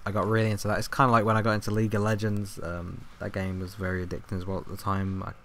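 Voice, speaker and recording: A young man with a hoarse, fading voice, chatting casually over his football game footage, rambling with lots of ums and restarts. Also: fairly low pitch, completely flat delivery, rapid-fire, fairly bright tone, a little hiss or hum. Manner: rambling, filler-heavy, self-correcting. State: hoarse, coughing, voice going. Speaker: gaming YouTuber. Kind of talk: casual gameplay commentary.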